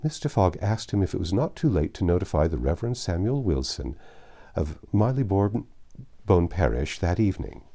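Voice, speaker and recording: A man reading a classic novel aloud as a narrator, in a measured, expressive storytelling way. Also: sits low. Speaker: audiobook narrator.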